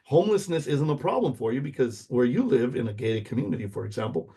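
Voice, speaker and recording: A man's voice: mid-range voice, lively intonation, fast talker, mellow, husky. A middle-aged man from the United States whose first language is Spanish, giving an informal lecture in an explanatory way, checking in with short tag questions. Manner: explanatory, checks in with "okay?" and "right?".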